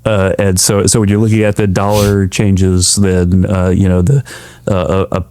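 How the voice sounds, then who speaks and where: A man giving halting, hedging interview answers as a government official. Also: deep voice, little pitch movement, a little mellow, no room sound.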